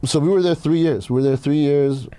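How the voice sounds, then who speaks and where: A man's voice: on the high side, fairly quick, dark tone, dry recording. A man from Iran telling personal stories casually as a podcast host, blunt and swearing freely.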